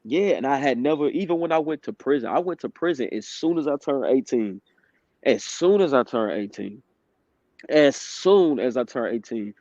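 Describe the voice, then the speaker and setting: A man's voice: mid-range voice, darkish tone, no echo. Candid man telling his story. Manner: candid storytelling, laughs between points. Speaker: guest. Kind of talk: casual testimony interview. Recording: dry dull lo-fi sound.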